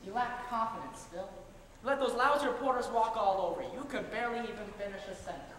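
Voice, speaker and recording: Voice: medium pitch, fast, fairly bright tone, close to an everyday voice. A performer playing a persuasive, domineering older-hand political mentor, coaxing and patronizing, in an amateur stage comedy. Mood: scolding. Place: large theater stage, distant camera. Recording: very echoey theater, faint noise.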